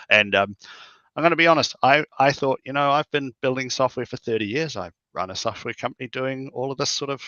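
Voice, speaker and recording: Bright-voiced, upbeat male podcast host. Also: medium pitch, no room sound, lo-fi sound. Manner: upbeat, enthusiastic, warm praise with many fillers. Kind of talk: casual interview chat.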